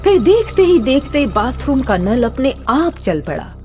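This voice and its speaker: Slow, expressive woman storyteller. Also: high-pitched, faint music behind. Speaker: narrator. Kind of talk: dramatic scripted story narration.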